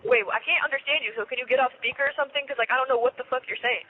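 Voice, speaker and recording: A woman's voice: high voice, racing. A young American woman talking casually on a phone call in an impatient, blunt way. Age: young adult. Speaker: jail inmate calling her mother. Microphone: telephone handset. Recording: tinny jail phone line, faint noise.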